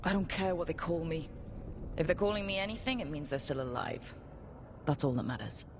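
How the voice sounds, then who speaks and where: A woman's voice: low voice, fairly quick, background noise. An actress playing a resolute, wry and plain-spoken female adventuring leader in a video game. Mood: firm. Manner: direct, wry, down-to-earth. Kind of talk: video game cinematic acting.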